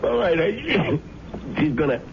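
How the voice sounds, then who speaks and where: An actor playing a sick, breathless male lodger, a reluctant accomplice, halting and quietly threatening in old-time radio drama style. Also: fairly high pitch, big pitch swings, gravelly, full character voice. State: sick and winded. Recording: noisy, dull old radio broadcast recording.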